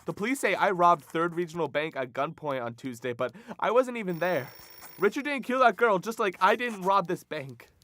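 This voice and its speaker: A man's voice: high voice, lively intonation, moderate pace, fairly bright tone. An actor playing an anxious accused man in a radio drama, urgent, pleading and stammering.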